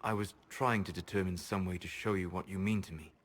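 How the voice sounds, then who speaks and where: An actor playing a grave, gentle elven mage in a video game, speaking softly in measured, formal, solemn phrases with a melancholy wisdom. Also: male voice, sits low, steady tone. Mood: tender. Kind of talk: dramatic video game acting.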